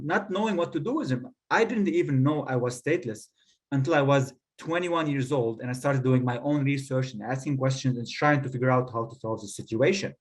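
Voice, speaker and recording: A man, telling a personal story on a panel in a measured, articulate, rhetorical way. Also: medium pitch. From Lebanon. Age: 30s. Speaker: guest speaker telling their story.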